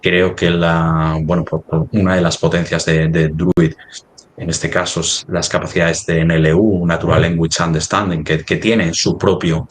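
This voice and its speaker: A man from talking business conversationally, halting and rephrasing as he goes, often ending on tag questions. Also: sits low, flat delivery. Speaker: company representative in a webinar.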